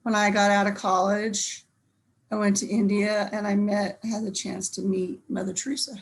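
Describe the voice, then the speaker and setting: A woman's voice: mid-range voice, level tone, normal speed. A woman from Colorado giving a short casual introduction on a call.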